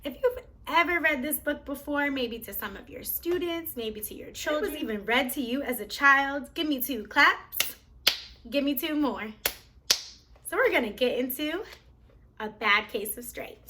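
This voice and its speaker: A woman reads a children's storybook aloud. Her voice sits high and swings widely in pitch. She tells it theatrically, as a host for young listeners. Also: lively intonation, moderate pace, background noise.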